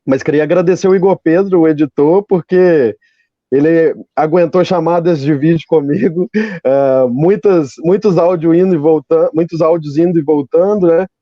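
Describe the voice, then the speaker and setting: A man's voice: a little high, normal speed, dry recording, lo-fi sound. A man chatting as an interview guest, rambling and repeating phrases as he explains. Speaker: interview guest, podcast creator. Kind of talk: casual conversational interview.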